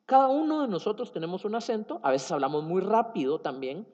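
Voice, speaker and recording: A man's voice: high voice, expressive, brisk, a little mellow. A man with a Guatemalan Spanish accent giving a tech conference talk, explaining with fillers and self-corrections. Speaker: conference speaker. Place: conference hall. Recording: dull sound in a reverberant hall.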